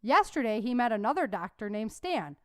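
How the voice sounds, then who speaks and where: Chatty female teacher, read by a woman, smooth, dry. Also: fairly high pitch, sing-song, brisk, a bit bright, no echo. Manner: explaining, chatty.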